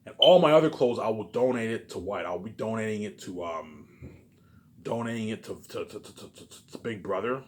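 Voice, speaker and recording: Fast-talking man, rambling and repetitive. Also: fairly low pitch, animated, slight background noise. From Canada. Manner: repetitive, halting, with habitual trailing tags; emphatic, profane bursts dropping to muttered asides. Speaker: vlogger. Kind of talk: rambling informal monologue.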